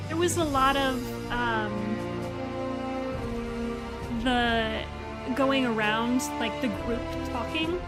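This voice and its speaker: High-voiced woman streamer, slow and casual. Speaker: livestream host and voice actor. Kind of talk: casual livestream reaction chat. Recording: loud music behind the voice.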